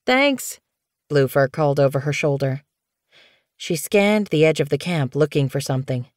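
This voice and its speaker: A woman narrating scripted fiction as an audiobook reader, in a measured, expressive storytelling manner. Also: sits low, dry recording, clean studio sound.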